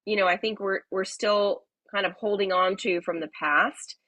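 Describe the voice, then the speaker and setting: A woman hosting a live webinar, talking in a friendly, chatty way with many fillers, slightly raspy from a cold. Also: mid-range voice, moderate pace, a bit bright, dry recording. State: hoarse from a cold. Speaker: webinar host.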